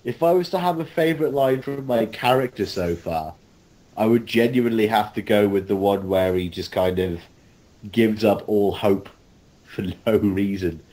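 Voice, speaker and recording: A man's voice: normal speed, a little mellow. A man in a casual group chat as an interviewed voice actor, talking in a rambling, jokey way over an online call. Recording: rumbly, noisy online call.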